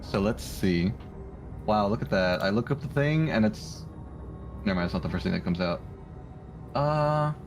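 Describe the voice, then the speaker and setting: An animated, casually chatting man streams while he draws. His talk rambles and is giggly, with pitch that moves around a lot. Music plays clearly behind him. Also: normal speed, long pauses. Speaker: streamer drawing art live. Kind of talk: casual livestream chat.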